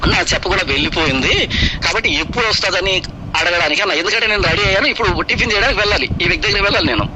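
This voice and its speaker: Noisy call recording, guy w telling a story really fast, explains stuff, repeats himself, acts out who said what. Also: high-pitched. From Kadapa, Andhra Pradesh, India. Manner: animated explaining, acts out reported dialogue, repeats phrases for emphasis. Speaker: pastor. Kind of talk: casual spoken storytelling.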